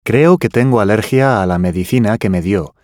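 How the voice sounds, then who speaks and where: A man reading scripted language-course dialogue with careful, clearly enunciated delivery. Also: fairly low pitch, moderate pace, darkish tone, no echo, studio quality.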